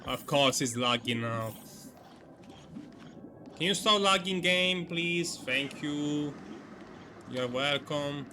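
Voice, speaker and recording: Male game streamer, bright voice, casual, halting, thinking aloud, faint music behind. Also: on the high side. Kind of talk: casual live gameplay commentary.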